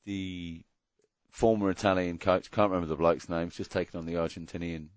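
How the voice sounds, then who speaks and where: A man's voice: low-pitched, little pitch movement, brisk, no echo, highs cut off. A man co-hosting a hockey podcast, chatting casually with joking asides and frequent restarts. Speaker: podcast co-host. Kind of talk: casual sports chat.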